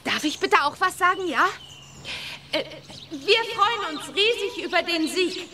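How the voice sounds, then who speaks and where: Spirited young witch girl, children's audio drama: bright-hearted, bold, high swooping voice, clear background effects. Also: lively intonation, a little slow, a little hiss or hum, exaggerated voice. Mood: eager, proud. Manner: bold, bubbly, eager.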